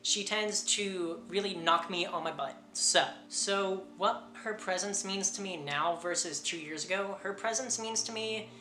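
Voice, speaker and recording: A woman's voice: mid-range voice, a little slow, few pauses, sharp tone, slight background noise. A woman vlogger chatting casually and candidly to camera, informal and emphatic in her delivery. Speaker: vlogger on witchcraft practice. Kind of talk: casual personal chat to camera. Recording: roomy echo, music underneath. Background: background music.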